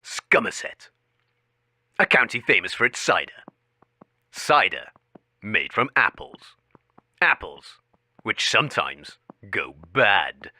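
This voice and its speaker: A man's voice: on the low side, big pitch swings, slow, pauses often, sharp tone, dry recording, clean studio sound, exaggerated voice. An actor, a man, playing a self-important, deluded investigative crusader, declaiming in a radio comedy. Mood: solemn. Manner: grandiose, declamatory. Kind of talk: melodramatic radio report parody.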